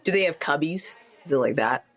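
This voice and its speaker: A man's voice: on the high side, animated, brisk, fairly bright tone. A man playing a tabletop game, chatting casually and thinking aloud in a tentative way.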